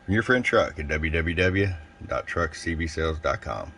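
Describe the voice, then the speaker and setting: A man's voice: rumbling low, expressive, moderate pace. A man giving a casual, folksy technical product demonstration as a radio technician and seller. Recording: clear background noise, room ambience.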